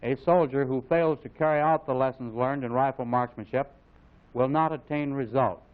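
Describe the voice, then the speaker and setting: An American man reading scripted military instructional narration in a formal, authoritative, measured way, as on a mid-century training film. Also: medium pitch. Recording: phone-like vintage film soundtrack, narrow band.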